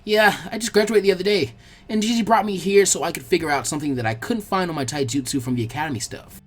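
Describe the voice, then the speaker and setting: A man voicing an eager, brash preteen ninja boy in a fan fiction audiobook, with informal, excitable talk. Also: high voice, quick pace, soft background music. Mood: curious.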